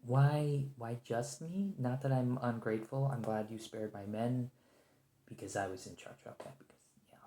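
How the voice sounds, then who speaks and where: A man playing a nervous, conciliatory special agent held captive, speaking hesitantly and softly in an ASMR roleplay with an echoing lo-fi sound. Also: medium pitch, natural voice. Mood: apologetic, explaining. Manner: hesitant, halting, stammering, soft-spoken. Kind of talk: ASMR roleplay, one-sided point-of-view dialogue. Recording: roomy lo-fi echo.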